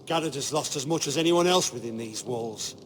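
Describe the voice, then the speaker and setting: An actor playing a proud, hot-headed knight hungry for vengeance, indignant and scornful in a fantasy game drama. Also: male voice, mid-range voice, animated, a little fast, a little hiss or hum, close to an everyday voice.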